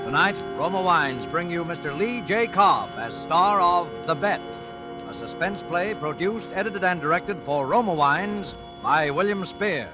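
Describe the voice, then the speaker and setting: A man's voice: high voice, animated, unhurried. A man with an American accent making scripted credit announcements in a 1940s radio style. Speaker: show announcer. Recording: vintage 1940s radio with loud music.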